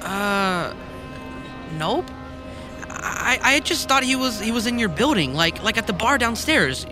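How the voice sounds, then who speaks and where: A well-meaning man from the office in an audio drama. He speaks haltingly and reassuringly with an animated range, over clearly heard music. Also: sits high. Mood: confused, hurt. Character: hesitant, well-meaning male office coworker. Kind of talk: naturalistic audio drama acting.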